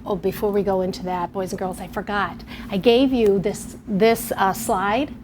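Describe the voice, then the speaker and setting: A middle-aged woman teacher, giving a lesson and reading a story aloud to young children in a patient, clear way. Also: medium pitch, steady tone, moderate pace, a little mellow, a little hiss or hum. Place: television studio or classroom.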